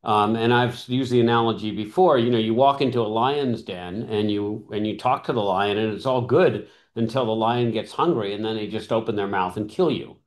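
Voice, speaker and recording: A man's voice: on the low side, brisk, few pauses. A middle-aged man, a regular panelist in a political chat, talking bluntly and emphatically over a video call.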